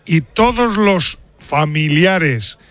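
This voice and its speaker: A fairly high-voiced man reporting live from a football stadium for a radio sports show. He talks emphatically, describing the scene like a story. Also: animated, drawn-out words, a bit bright, a little hiss or hum.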